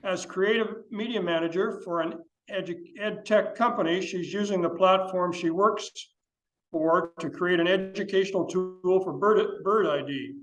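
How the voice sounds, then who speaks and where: A man hosting a club meeting, reading a measured, formal introduction of the next speaker. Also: high-pitched. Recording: echoey meeting room.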